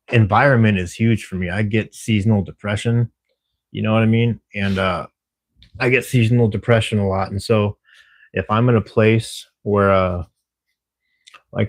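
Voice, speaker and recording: A man casually chatting on a livestream, reading viewer comments in a friendly, rambling way with plenty of fillers. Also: lowish voice. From Texas, USA. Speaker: livestreamer working a camera security shift.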